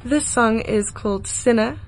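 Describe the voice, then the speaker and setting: A woman's voice: a little high, measured pace, a little hiss or hum, poor audio. A woman in her twenties with a South African accent, a singer-songwriter chatting casually as a guest in a radio interview. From Cape Town, South Africa. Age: 20s.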